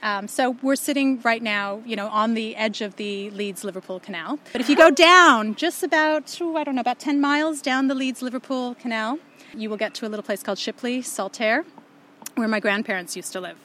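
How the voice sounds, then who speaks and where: A woman with a Canadian accent chatting casually and warmly reminiscing, recorded outdoors by a canal. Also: fairly high pitch, a little fast. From born in Yorkshire, raised in Canada. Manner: animated, reminiscing, laughs often. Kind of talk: casual chat.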